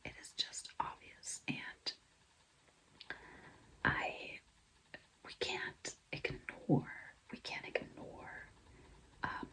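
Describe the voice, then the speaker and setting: A young woman whispering in a breathy, mostly unvoiced voice, chatting confidingly and casually to viewers as a vlogger telling a personal story. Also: very slow, long pauses, bright, forceful tone, poor audio. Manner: confiding, conversational, frequent "like" and "you know".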